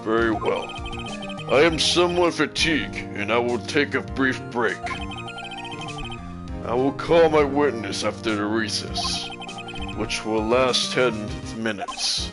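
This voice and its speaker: A man voicing an arrogant, commanding male prosecutor in a video game, with a measured, haughty, formal delivery. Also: medium pitch, music in the background, natural voice. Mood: composed. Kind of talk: video game dialogue voice-over.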